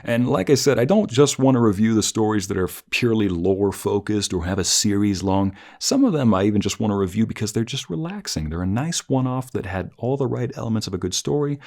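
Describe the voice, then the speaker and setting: Low-voiced man giving a reflective review. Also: sing-song, darkish tone. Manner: reflective, halting, rephrasing as he goes. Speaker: host of story review channel, narrator. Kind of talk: conversational spoken review.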